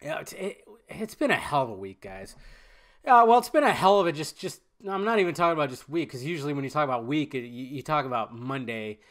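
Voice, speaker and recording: A man's voice: a little high, lively intonation, fast, a bit bright. A man hosting a podcast in loose, rambling casual chat, full of fillers and restarts, sounding nasal and stuffed up from a cold. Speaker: podcast host.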